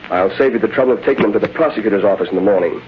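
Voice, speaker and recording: A man's voice: on the low side, rapid-fire, lightly acted. A man playing a crooked, bullying official in old-time radio drama style. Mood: cold, threatening. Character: crooked menacing male highway official, cold and bullying. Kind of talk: 1930s-40s radio acting. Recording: tinny, noisy vintage radio recording.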